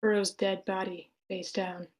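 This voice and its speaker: A woman's voice: medium pitch, flat delivery, a little slow. A woman reading stage directions as the narrator of a screenplay table read.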